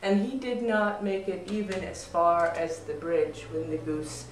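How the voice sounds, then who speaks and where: A woman narrates a fable as an expressive storyteller. She takes her time over the phrases, and her voice comes from a little distance with plenty of room echo. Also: on the low side, unhurried, a little hiss or hum. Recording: roomy echo, distant mic.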